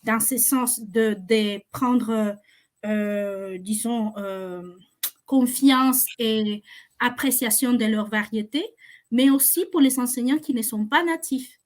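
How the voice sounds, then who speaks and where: A woman's voice: a little high, slow. A woman teacher speaking French as a non-native speaker on an online panel, hesitant and searching for her words. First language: not French. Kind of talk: unscripted panel discussion.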